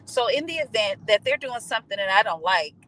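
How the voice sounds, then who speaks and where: A woman's voice: medium pitch, animated, fairly quick, fairly bright tone, slight background noise, poor audio. A woman co-hosting a relationship talk podcast, chatting animatedly and slipping into a sugary tone for examples. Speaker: podcast co-host. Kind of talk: casual chat.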